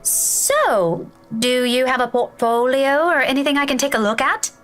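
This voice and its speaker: A friendly young female schoolteacher in a visual novel. Her British accent carries rolled Scottish R's, and her slightly high voice moves up and down a lot as she teases and asks questions, with music behind her. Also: expressive, normal speed, fairly bright tone. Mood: friendly, curious. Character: friendly young woman schoolteacher, playful and curious. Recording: music behind game dialogue.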